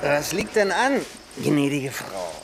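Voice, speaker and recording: A man's voice: a little high, wildly swooping pitch, takes it slowly, creaky, over loud music, full character voice. An actor playing a scruffy, irritable homeless man in a radio crime drama, with a curt, sardonic manner. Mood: sardonic, stubborn. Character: scruffy male homeless drifter, stubborn and irritable.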